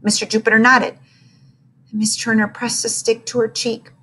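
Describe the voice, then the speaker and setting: A woman narrating a children's storybook aloud in an expressive, dramatic way. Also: a little high, moderate pace, a little hiss or hum, rough recording.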